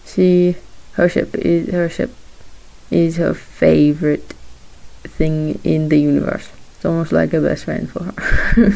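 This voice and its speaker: Shy young woman vlogging while she draws, low and mellow, halting with frequent soft laughs. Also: level tone, creaky, rough recording. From Morocco. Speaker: vlogger, aspiring character designer. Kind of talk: casual chat while drawing.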